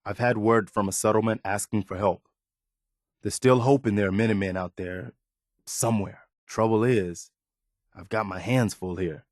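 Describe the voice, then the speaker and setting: A man playing an earnest, weary and idealistic militia officer in a post-apocalyptic video game, speaking in a measured way. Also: on the low side, darkish tone, dry recording, studio quality, natural voice. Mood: hopeful, encouraging. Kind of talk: video game character dialogue.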